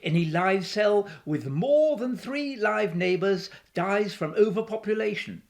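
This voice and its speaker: A woman playing a philosophical, resolute female scientist in a radio play, with a measured, lecturing manner. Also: medium pitch, expressive, creaky, a little mellow.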